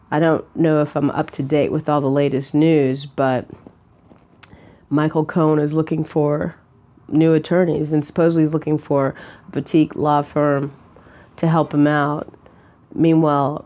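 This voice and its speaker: A woman in her forties with a raspy voice from a cold, chatting casually to camera from a hotel bed, rambling with many fillers and restarts. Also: deep voice, a little mellow. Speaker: vlogger. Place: hotel room, lying on a bed.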